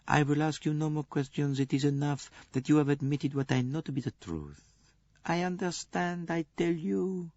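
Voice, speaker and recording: A man voicing a fastidious older Belgian detective who speaks softly and precisely. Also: mid-range voice, sing-song, creaky, slightly rough, dry recording, voice pushed up, full character voice. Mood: calm. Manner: soft, precise, coaxing. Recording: dull lo-fi recording.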